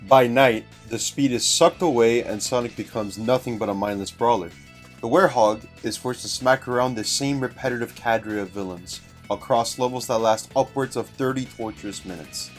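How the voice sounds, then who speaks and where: A man with a mid-pitched voice reads a written review aloud in narration style. Guitar music plays behind him. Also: normal speed. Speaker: guest reader of a written review.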